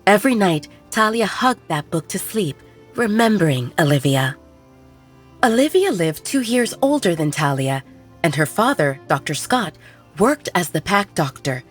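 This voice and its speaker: Woman audiobook narrator, lowish voice, calm and even, soft music behind. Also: unhurried, husky. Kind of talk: scripted story narration.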